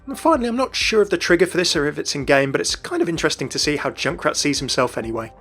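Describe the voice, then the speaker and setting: A man's voice: on the high side, lively intonation, racing, a bit bright, breathy edge, soft background music. A man narrating scripted game lore commentary as a video voice-over.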